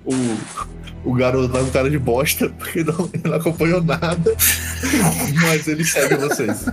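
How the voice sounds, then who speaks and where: A man with a Brazilian accent improvising narration as game master, haltingly repeating words. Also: fairly high pitch, music in the background.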